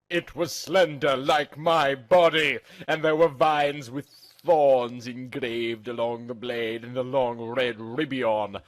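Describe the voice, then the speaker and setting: A man's voice: medium pitch, no room sound. A man narrating a first-person story in a theatrical, dramatic amateur reading style.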